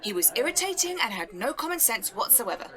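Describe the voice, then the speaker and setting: An envious, self-doubting British woman photographer in an audio drama. She sounds earnest and grumbling, with a fairly high, bright, tense voice. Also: very animated, fairly quick. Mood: indignant, envious.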